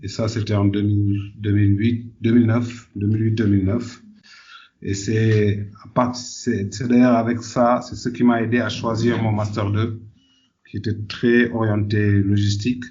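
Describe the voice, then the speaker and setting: A man's voice: on the low side, level tone, normal speed, mellow, highs cut off, slight background noise. A man from Senegal answering conversationally as an interview guest, often repeating words as he searches for phrasing. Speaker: podcast guest, entrepreneur.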